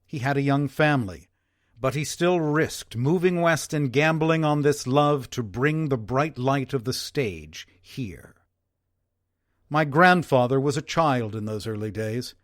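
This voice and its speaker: A man playing an earnest, wistful former theater owner from early-1900s Wisconsin, reading a letter in a formal, measured way. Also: medium pitch, expressive, no room sound, clean studio sound, natural voice. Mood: proud, nostalgic.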